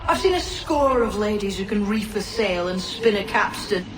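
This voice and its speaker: A woman's voice: mid-range voice, animated, normal speed, lightly acted. An actress playing a bold, salty woman pirate in a video game cutscene, brash and confident. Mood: confident, encouraging. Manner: brash, confident, plain-spoken. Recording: roomy, distant, clearly noisy ambience.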